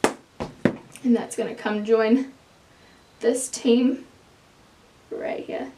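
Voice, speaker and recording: A woman's voice: fairly high pitch, steady tone, drawn-out words, noisy background. A young woman with a strong New Zealand accent, chatting casually to camera as a beauty vlogger, walking through swatches of eyeshadows in a small room. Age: young adult. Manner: chatty, explains product swatches while holding up palettes. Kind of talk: casual makeup review to camera. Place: indoors, small room with plain wall.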